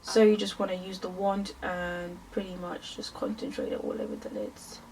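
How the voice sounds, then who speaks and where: A woman's voice: fairly low pitch, level tone, moderate pace, darkish tone, distant mic, slight background noise, poor audio. A woman in her twenties from London with a London accent, chatting casually through a makeup tutorial with lots of fillers. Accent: London English. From England. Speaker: beauty vlogger.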